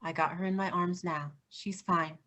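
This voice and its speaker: A woman's voice: fairly low pitch, fairly even intonation, normal speed, a bit bright, natural voice. An actress playing a feisty, capable grandmother vigilante in a staged play reading. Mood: reassuring.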